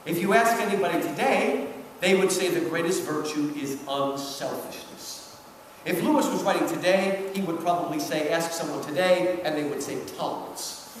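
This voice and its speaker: A man's voice: a little high, moderate pace. A man giving a live lecture with literary commentary, speaking emphatically and rhetorically and often checking in with his listeners. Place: large reverberant hall at a public event. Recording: echoey hall from far off, noisy.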